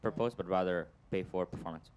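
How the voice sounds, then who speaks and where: A man's voice: low-pitched, a little fast, darkish tone, a little hiss or hum. A man speaking on an industry panel, upbeat and analytical. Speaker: panelist, performance marketer. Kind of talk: panel discussion answer.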